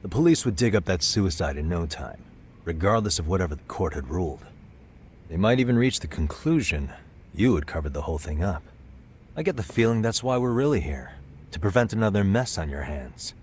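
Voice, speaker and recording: An actor playing a cool, probing private detective with a wry, measured delivery in a video game cutscene. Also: male voice, deep voice, big pitch swings, a little mellow, slight background noise. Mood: probing, confident. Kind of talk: video game cutscene acting, English dub.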